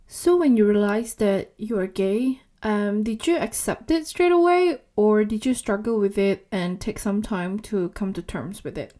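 A woman's voice: medium pitch, animated, measured pace, few pauses, warm tone. A woman hosting a podcast interview, giving prepared introductions and questions. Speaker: podcast host.

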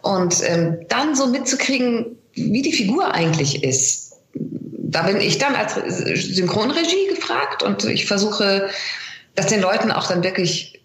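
A woman's voice: mid-range voice, lively intonation, unhurried, roomy sound. A woman speaking as an interview guest, explaining things conversationally with examples over an internet call. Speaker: interview guest, voice actress and dubbing director. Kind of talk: casual interview chat. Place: remote internet call, echoey room.

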